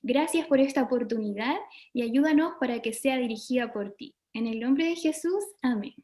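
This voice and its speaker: A woman's voice: a little high, normal speed, warm tone, echoey room. A young adult woman with a Chilean Spanish accent, a doctor co-hosting an online forum and leading a prayer in an earnest way. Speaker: co-host, doctor.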